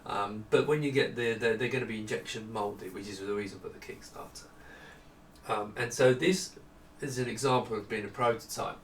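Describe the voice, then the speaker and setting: Middle-aged man, unscripted product demo, conversational and halting, roomy echo, mic at a distance, small indoor office. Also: on the low side. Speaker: product designer presenting his monitors. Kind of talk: casual unscripted product walkthrough. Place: small home office indoors with studio lighting. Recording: echoey room, distant mic, faint noise.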